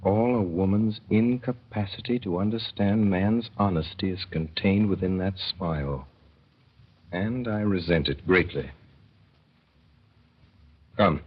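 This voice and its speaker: Low-voiced male narrator, wry and measured, old radio sound. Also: breathy edge. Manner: measured, wry storytelling with deliberate pauses. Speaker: narrator and lead performer. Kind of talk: 1930s radio drama narration. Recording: dull 1930s radio broadcast.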